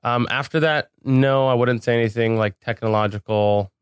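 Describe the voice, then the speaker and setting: A man's voice: medium pitch, steady tone, moderate pace, clear, resonant tone, no room sound. A man speaking casually as an interview guest on a podcast, recorded in a studio.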